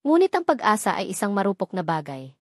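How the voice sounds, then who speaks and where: A woman's voice: mid-range voice, very animated, quick pace, dry recording, studio quality. A woman reading a dramatic first-person story as a scripted narration.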